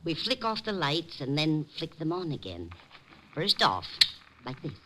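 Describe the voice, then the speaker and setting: A woman's voice: low-pitched, very animated, measured pace. A woman playing a kind, fussy gray-haired librarian who talks in a fluttery, prim 1950s radio drama style. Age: older. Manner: fluttery, prim, easily alarmed. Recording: old radio broadcast with light hiss.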